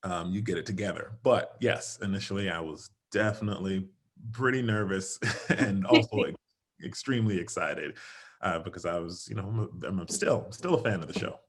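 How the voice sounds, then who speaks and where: A man with a low, deep speaking voice chats casually as an interview guest. He is animated, laughs easily and jumps up in pitch when he acts out his own reactions, heard over a video call. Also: a little fast, breathy edge. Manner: animated, laughs often, quotes himself in lively bursts. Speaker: interview guest, stage actor and singer.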